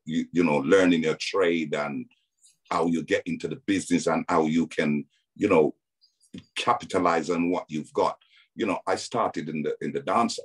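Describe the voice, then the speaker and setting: A man's voice: deep voice, slightly rough. A middle-aged man with a Jamaican accent, telling his story as a guest on a video call, hesitant with many ums and restarts.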